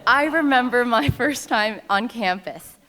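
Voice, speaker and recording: A woman's voice: fairly high pitch, lively intonation, a little slow, bright, forceful tone, slight background noise. A woman in her thirties from New York City, giving a personal storytelling speech at a ceremony with wry, self-deprecating humor, into a podium microphone. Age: 30s. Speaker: alumna speaker at a ceremony. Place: ceremony stage before an audience.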